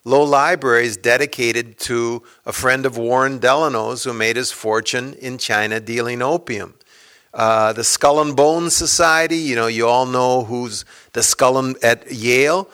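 Bright-voiced man giving a rambling talk. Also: mid-range voice, steady tone, measured pace, smooth. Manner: rambling, many fillers and restarts. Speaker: author speaking at a book event. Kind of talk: casual lecture talk with audience Q&A.

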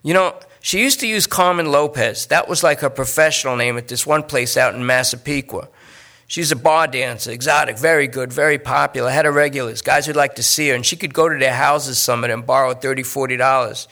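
A man voicing a jittery, talkative male junkie who rambles and pleads in fast bursts. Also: medium pitch, pushed and bright. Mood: pleading.

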